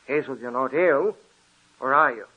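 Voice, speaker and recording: An actor playing a genial older family doctor in a 1940s radio drama, avuncular and reassuring. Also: male voice, medium pitch, moderate pace, slightly rough, natural voice. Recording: tinny, narrow old radio recording.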